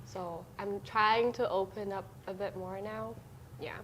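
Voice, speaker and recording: A woman's voice: mid-range voice, steady tone, fairly bright tone, slight background noise, rough recording. Young woman guest. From Hong Kong. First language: Cantonese. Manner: thoughtful, halting, many fillers. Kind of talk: casual podcast chat.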